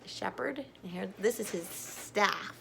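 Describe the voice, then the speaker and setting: A woman's voice: medium pitch, measured pace, creaky, fairly bright tone, a little hiss or hum. A woman storyteller reading a children's story aloud with simple, emphatic phrasing and informal asides to young listeners.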